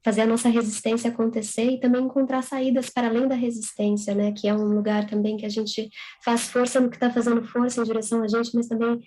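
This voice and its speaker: Young brazilian woman writer on video call, flat even voice, reads and chats. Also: on the high side, fast, low-quality recording. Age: young adult. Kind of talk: reading own prose aloud, then conversation.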